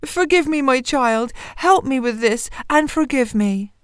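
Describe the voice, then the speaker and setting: A frightened miller from a storybook acted out by a woman. The father pleads emotionally and guiltily, his voice lifted higher than narration. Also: animated, unhurried, clear, resonant tone, no room sound, clean studio sound. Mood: pleading, afraid.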